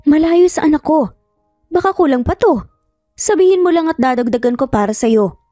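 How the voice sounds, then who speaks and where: A woman acts out a haughty older matriarch in an audio drama, strict and threatening. She speaks in a fairly high voice with a mellow edge, over music. Her manner is sarcastic, commanding and merciless. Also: animated, normal speed, no room sound, studio quality. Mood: cold, sarcastic.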